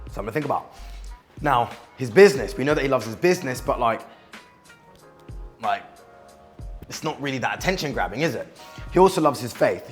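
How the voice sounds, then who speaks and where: A young man from the West Indies, chatting casually in a vlog, animated and self-mocking, swearing and saying "like" a lot. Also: medium pitch. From Guyana and the West Indies. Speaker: vlogger and outreach coach. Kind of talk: casual vlog talk to camera.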